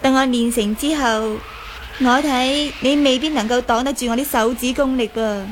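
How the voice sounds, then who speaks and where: A woman's voice: high-pitched, fairly even intonation, normal speed, lightly acted. An actress playing a bitter, defiant young martial arts woman in a Cantonese radio drama. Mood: proud, bitter. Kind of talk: Cantonese audio drama acting. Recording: faint background noise.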